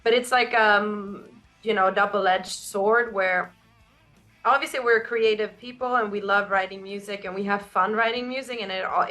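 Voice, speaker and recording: A woman singer with a naturally raspy voice, answering interview questions in a loose, candid way, full of "like" and "you know". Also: mid-range voice, fairly bright tone. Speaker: band singer.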